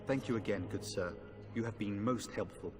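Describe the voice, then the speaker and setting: A man playing an earnest, dispirited knight in a fantasy game, speaking in a formal, measured, grave way. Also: on the low side, lightly acted. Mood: solemn, grateful. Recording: long hall reverb, faint music behind.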